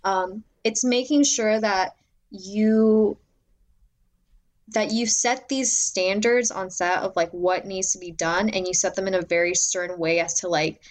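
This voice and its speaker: Loud, chatty young woman. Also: medium pitch, measured pace. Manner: animated, filler-heavy, many "like"s. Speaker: assistant director guest. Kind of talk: casual interview conversation.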